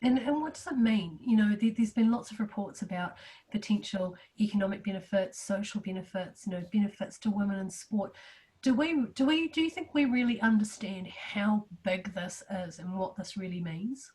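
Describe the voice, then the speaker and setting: A woman hosts an interview with an animated, quick way of talking, and her voice sounds slightly croaky from a flu. She sits a little distant from the mic. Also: mid-range voice. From New Zealand. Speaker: interview host.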